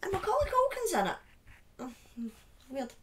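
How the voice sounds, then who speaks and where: A woman's voice: very high-pitched, huge pitch swings, normal speed. A middle-aged British woman with a speech impediment, chatting casually and telling stories on a livestream in an informal, dramatic way. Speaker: live streamer telling true crime stories. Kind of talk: casual livestream chat and storytelling. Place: small dim room indoors. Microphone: desk microphone on a boom arm, close.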